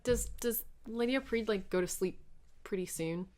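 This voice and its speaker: A woman's voice: medium pitch, normal speed, a little mellow. A woman playing a tabletop game, chatting casually and roleplaying her character.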